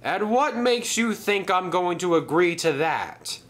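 A man playing a shrewd, defiant railway boss, brisk and authoritative, in a children's story. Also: high-pitched, lively intonation, a bit bright, voice pushed up. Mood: amused defiance.

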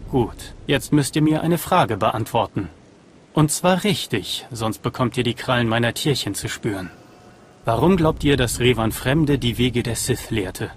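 A man playing a grave alien master in a video game, speaking in solemn, measured tones. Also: mid-range voice, lively intonation, a little hiss or hum, close to an everyday voice. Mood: solemn, stern. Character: grave male alien master testing a disciple. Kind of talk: video game cutscene acting.

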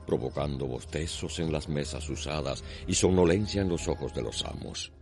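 A man. His delivery is solemn, measured and poetic, with faint music behind him. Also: very deep voice, vocal fry, darkish tone. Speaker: narrator. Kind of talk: scripted radio soap narration.

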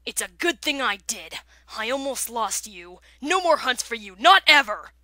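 A woman's voice: on the high side, animated, moderate pace, bright, forceful tone. A woman playing a protective lion king father in a cartoon, earnest, anxious and firm.

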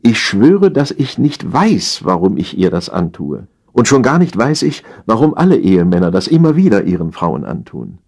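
Low, husky male narrator. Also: darkish tone. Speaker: audiobook narrator. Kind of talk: dramatized reading of satirical story.

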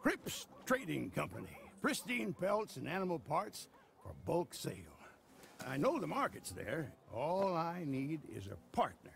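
Creaky old american frontier trader, folksy sales patter, voice swings all over, western game. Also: male voice, a little high, huge pitch swings, unhurried, heavily acted. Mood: eager, folksy. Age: older. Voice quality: gravelly. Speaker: game character actor. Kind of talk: video game cutscene acting.

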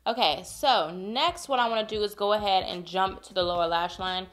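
A woman's voice: mid-range voice, moderate pace, a bit bright, faint music behind. Chatty congested woman doing makeup talk. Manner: chatty, playful, addresses viewers as y'all. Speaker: beauty vlogger. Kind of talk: casual makeup tutorial chat. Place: home room, indoors.

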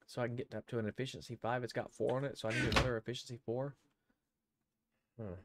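A man's voice: medium pitch, flat delivery, fast talker, very noisy. An older man with a head cold, streaming a game from home on a headset mic and thinking aloud as he plays, often counting under his breath. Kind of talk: casual gameplay commentary. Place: home room.

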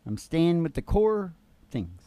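A man's voice: a little high, big pitch swings, slow pace, dark tone, no room sound. An older man hosting a live country music show with rambling, folksy banter and a theatrical showman's manner, speaking into a stand microphone. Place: small curtained stage, indoors.

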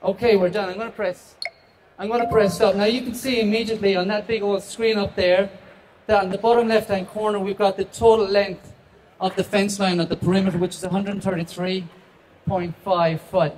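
A man's voice: high-pitched, level tone, brisk, darkish tone, slight background noise. A middle-aged man from the west of Ireland with an Irish accent, chatty and self-deprecating, demonstrating a product live on a handheld mic in a large trade show hall. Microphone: handheld microphone.

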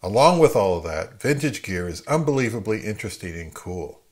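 A middle-aged man hosting an advice vlog, speaking to camera in an emphatic way with deliberate pauses. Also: lowish voice, sing-song. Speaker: host of an audio gear channel. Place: home listening room, later an open grassy field.

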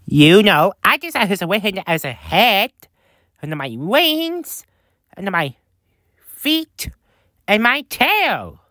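A woman improvising character lines for a fan video in a halting, repetitive way, stumbling over her words. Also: medium pitch, very animated, fairly bright tone, no echo, clean studio sound. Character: excitable woman in a bird costume.

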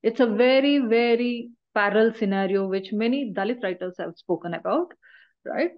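A woman's voice: on the high side, moderate pace. Woman lecturing over a muffled video call. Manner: explanatory, with frequent checking "right?" tags. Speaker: university lecturer. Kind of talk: online university lecture. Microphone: laptop webcam on a video call.

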